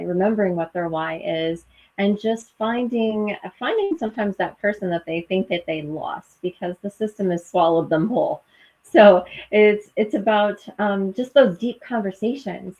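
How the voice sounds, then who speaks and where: A woman's voice: mid-range voice, darkish tone, dull audio. A woman co-hosting a live chat over a video call, bubbly and chatty, laughing often. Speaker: co-host and coach for nurses.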